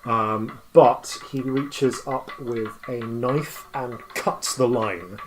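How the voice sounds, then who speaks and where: Halting game master, a man, faint background noise. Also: mid-range voice, fairly even intonation, low-quality recording. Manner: halting, improvised delivery. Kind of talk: narrating a tabletop roleplaying game.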